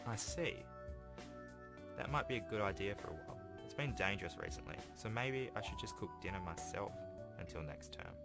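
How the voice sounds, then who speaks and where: Man reading as a deep-voiced, exasperated teenage boy. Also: lively intonation, normal speed, creaky, loud music behind the voice. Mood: reasonable. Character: patient, exasperated teenage boy from visual novel. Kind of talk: visual novel dialogue read aloud.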